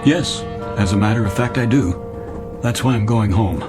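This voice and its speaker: An actor as a deep-voiced, weary, desperate businessman. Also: normal speed, over music, natural voice. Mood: resigned. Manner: hesitant, strained, pleading. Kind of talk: audio drama acting.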